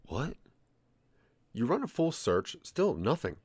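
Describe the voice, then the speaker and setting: Male narrator, lowish pitch, expressive range, scripted second-person storytelling, audio drama. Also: normal speed, no room sound.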